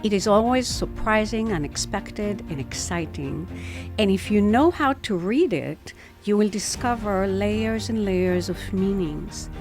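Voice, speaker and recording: A woman's voice: medium pitch, lively intonation, unhurried, music in the background. A woman hosting an interview show, presenting her guest and asking questions. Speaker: interviewer and host. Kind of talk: scripted introduction and interview.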